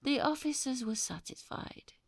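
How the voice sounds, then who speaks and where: A woman playing a melodramatic, creaky murderous madman. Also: high-pitched, animated, moderate pace, no echo, studio quality, full character voice. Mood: sly triumph. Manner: melodramatic, feverish, emphatic repetition. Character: murderous madman narrator, smug, cunning, increasingly frantic. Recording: music behind the voice.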